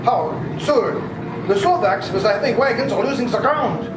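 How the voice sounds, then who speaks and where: A man's voice: high voice, animated, moderate pace, background noise. A man playing a commanding vampire hunter in an old-time radio drama, speaking in a dramatic, emphatic way. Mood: tense narration. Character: urgent, commanding male vampire hunter narrating the chase. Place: live performance in a public library. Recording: roomy live stage, music behind.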